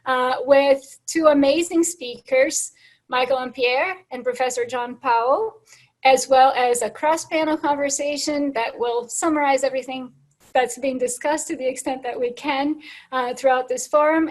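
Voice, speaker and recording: A hoarse woman host thanking panelists and summing up an online panel over a video call, with frequent fillers. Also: high-pitched, rough recording. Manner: thankful, summarizing, with many fillers. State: hoarse, losing her voice. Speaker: host closing a panel forum. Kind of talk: closing remarks at an online panel.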